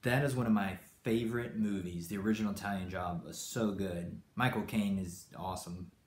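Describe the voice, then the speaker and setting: Brief: man with a lowish voice, raised in Brazil, chatty stream host who loses his thread, echoey room. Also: fairly even intonation, fairly quick, a little hiss or hum. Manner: rambling, with many fillers and restarts. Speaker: channel host. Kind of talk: live-stream chat answering viewers.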